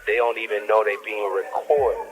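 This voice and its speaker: Insinuating man over clear music. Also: fairly low pitch, level tone, normal speed. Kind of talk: slangy phone-call conversation.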